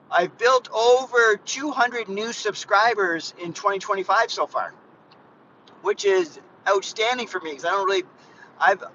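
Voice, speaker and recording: A man's voice: sits high, sharp tone, dull audio. A man in his fifties rambling casually in a live vlog while driving, with many restarts and frequent asides to his viewers. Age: 50s. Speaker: fitness vlogger. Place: inside a moving car. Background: faint car and road noise.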